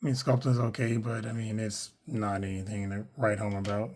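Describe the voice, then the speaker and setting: A man with a hoarse, worn voice, chatting casually through a toy unboxing, rambling with lots of fillers and self-corrections. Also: fairly low pitch, level tone, normal speed, darkish tone, a little hiss or hum. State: hoarse, losing his voice. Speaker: toy collector reviewing action figures.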